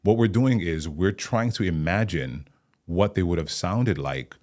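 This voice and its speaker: A man's voice: low voice, lively intonation, measured pace, darkish tone. A man from Hawaii, a guest actor and playwright, talking thoughtfully in a podcast interview and explaining at length with small restarts.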